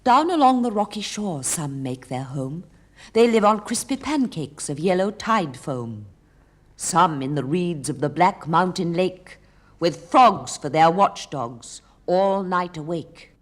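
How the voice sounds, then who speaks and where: A woman reciting a children's poem in a measured storytelling style. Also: on the low side, lively intonation. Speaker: poetry reader.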